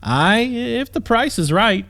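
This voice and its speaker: A man's voice: high-pitched, sing-song, unhurried, fairly bright tone, pitched above the performer's own voice, exaggerated voice. Retired knight, voiced by a man: smug, cheeky, unruffled, fantasy audiobook with background music.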